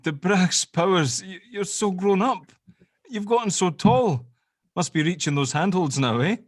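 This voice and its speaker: A man's voice: high-pitched, expressive, a little slow, dry recording, voice pushed up. A man playing an affectionate, awkward long-lost father, teasing and flustered, in a drama table read. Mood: overjoyed, affectionate.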